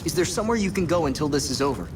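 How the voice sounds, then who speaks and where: Man as an animated, earnest young superhero. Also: a little high, very fast, noisy background, close to an everyday voice. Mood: concerned. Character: earnest, caring, determined young male superhero. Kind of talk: video game voice acting.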